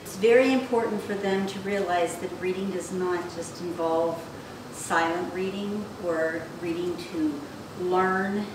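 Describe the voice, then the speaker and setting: A woman's voice: on the low side, fairly even intonation, a little slow. A woman giving informal, unscripted answers in an interview. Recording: echoey room from far off, background noise.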